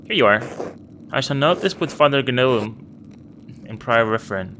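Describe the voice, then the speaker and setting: A man voicing a stern, formal monk who guards an abbey's treasures and speaks in a stiff, disapproving way. He reads a slightly lower and quieter line than his own voice. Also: lively intonation, measured pace, low-quality recording. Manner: stiff, rule-bound and disapproving. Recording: clear background noise.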